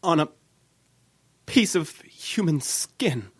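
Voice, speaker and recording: A man playing an agitated, halting newspaper office worker in an old-time radio drama. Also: a little high, slightly rough, no room sound, heavily acted. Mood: alarmed, horrified. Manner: halting, excitable.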